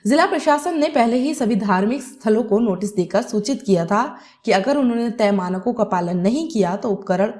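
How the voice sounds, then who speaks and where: A woman's voice: fairly high pitch, animated, moderate pace, a little mellow. A woman narrating a scripted news report as a news presenter.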